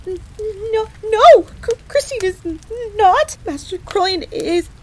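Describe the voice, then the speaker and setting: A woman's voice: very high voice, lively intonation, measured pace, poor audio. A woman playing a jealous, easily flustered fox maid in a roleplay audio drama, haughty and indignant yet self-deprecating, prone to stammering. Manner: indignant, stammering when flustered, refers to herself in third person.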